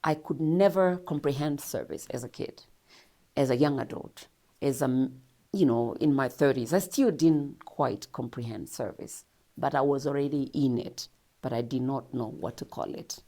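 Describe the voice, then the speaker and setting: A woman's voice: extremely low pitch, normal speed, warm tone. A Kenyan woman telling her life story as an interview guest, speaking in a reflective, earnest way with laughs now and then.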